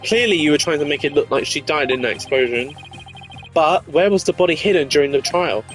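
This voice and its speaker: A dogged game defense lawyer, played by a man with a slightly high voice. He is assertive and sure of himself, pressing accusations while music plays behind. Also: lively intonation, fairly quick, close to an everyday voice, rough recording. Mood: confident, accusing. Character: confident, dogged male defense attorney.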